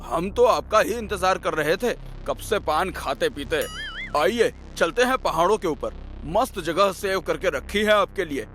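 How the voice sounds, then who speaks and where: A man playing a chatty, folksy property broker, effusive and eager to please in a comic animated story style. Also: sits high, measured pace, soft background music. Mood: excited, welcoming. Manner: effusive, colloquial, ingratiating.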